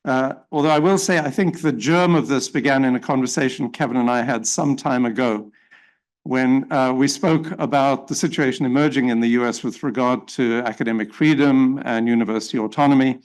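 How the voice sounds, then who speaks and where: Middle-aged South African man, formal opening remarks, measured pace, many fillers. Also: medium pitch, poor audio. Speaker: symposium chair. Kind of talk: formal welcome speech.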